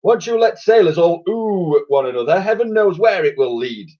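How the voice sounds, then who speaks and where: A man's voice: expressive, normal speed, muffled recording. A man voicing a blustering, pompous old navy admiral who thunders his orders. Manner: booming, bossy, thunders orders.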